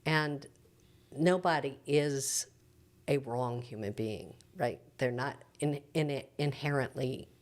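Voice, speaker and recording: Middle-aged woman, low-pitched, explaining earnestly. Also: slow. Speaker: church preacher interviewed as podcast guest. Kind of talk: earnest reflective interview talk.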